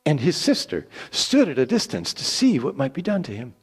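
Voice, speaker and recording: Expressive man reading scripture with dramatic swings. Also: a little high, breathy edge. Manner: theatrical, deliberate, with dramatic pauses. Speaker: Bible reader.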